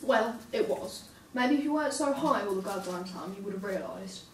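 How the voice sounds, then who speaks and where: A woman's voice: medium pitch, expressive, normal speed, close to an everyday voice. A woman reading the part of an upset, wounded young woman at a drama table read, recorded at a distance in a noisy, echoey room. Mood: frustrated, accusing.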